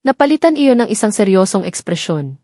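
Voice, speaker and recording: A woman's voice: mid-range voice, sing-song, a little fast, warm tone, dry recording. Filipino woman narrating dramatic stories, dry sound. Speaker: story narrator. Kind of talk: dramatic scripted storytelling narration.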